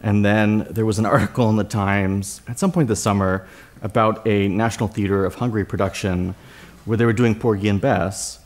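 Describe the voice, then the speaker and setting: A man talks as a guest writer in an interview. His voice is on the low side, and he explains things in a conversational way. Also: steady tone, normal speed.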